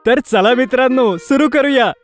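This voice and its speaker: A woman's voice: high voice, moderate pace, voice pushed up, lightly acted. A woman voicing a young schoolboy, eager, confiding and a bit timid, in a children's cartoon story. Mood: cheerful. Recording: music behind the voice.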